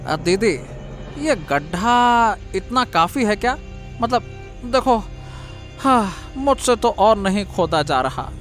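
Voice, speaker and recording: A man playing a callous younger brother who schemes in a cartoon moral story, speaking haltingly. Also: high voice, very animated, fairly bright tone, faint music behind, heavily acted. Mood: uneasy, conspiratorial. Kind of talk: Hindi cartoon story dialogue.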